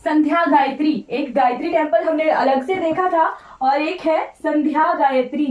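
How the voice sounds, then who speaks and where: An echoey, distant recording of an Indian woman teaching a class. Her voice is high and slightly off. She lectures informally, repeating key points and often checking that students follow. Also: normal speed, a little mellow, dull audio. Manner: repetitive, instructive, often checking with "right?". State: voice slightly off today. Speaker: teacher of an online exam-prep class. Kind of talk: informal lecture mixing Hindi with English terms. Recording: roomy echo, distant microphone.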